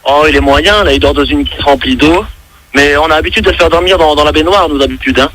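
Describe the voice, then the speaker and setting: A man's voice: a little high, fast talker, a bit bright, no room sound. A man playing a polite, fussy hotel guest who rambles and hesitates on a prank phone call. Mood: earnest, doting. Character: polite, fussy male hotel guest, devoted pet owner. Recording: faintly noisy radio prank call.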